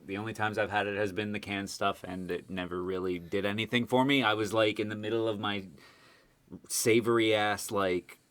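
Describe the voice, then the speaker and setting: A man's voice: lowish voice, fairly even intonation, normal speed, fairly bright tone. A man chatting casually as a podcast co-host, joking in a sarcastic, mock-dramatic way. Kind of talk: casual group banter.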